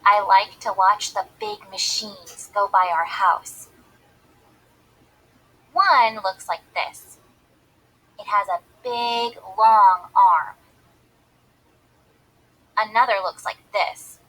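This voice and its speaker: A curious young girl narrates her storybook world, read by a woman. The voice is forward and bright with a breathy grain. She takes it slowly, in simple, deliberate phrases for young listeners. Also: mid-range voice, full character voice, poor audio. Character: Vivian, curious young girl watching building machines.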